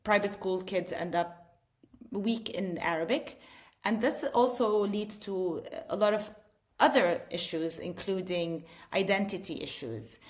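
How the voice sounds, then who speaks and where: A woman's voice: medium pitch, a little slow. Earnest middle-aged woman explaining. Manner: earnest, explanatory, frequent restarts and "you know". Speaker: edtech founder, interview guest. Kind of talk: casual interview conversation.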